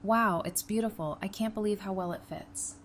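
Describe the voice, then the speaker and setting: An actress plays a soft-hearted bride in a fan audio drama. She sounds affectionate and middle-pitched, with faint noise in the background. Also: moderate pace, close to an everyday voice. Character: Talissa, soft-hearted, happy adult bride.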